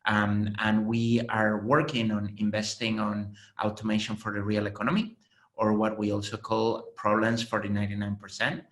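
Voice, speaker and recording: A man in his late thirties with a Spanish accent, explaining his work as a venture investor in a measured way, with frequent fillers, on a video call panel. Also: on the low side, little pitch movement, a bit bright. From Spain. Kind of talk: panel conversation. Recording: roomy echo.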